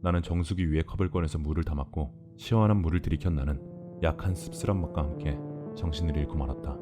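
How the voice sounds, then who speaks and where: A man's voice: extremely low pitch, fast talker. A man narrating a scripted first-person horror story for an audio drama. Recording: music behind the voice.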